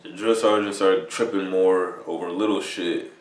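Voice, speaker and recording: A man's voice: sits low, fairly even intonation, moderate pace, reverberant, recorded from a distance. A man in his thirties from New York, a soldier casually rambling in slangy talk with lots of "bro" and swearing. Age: 30s. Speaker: vlogger, army soldier. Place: army barracks room.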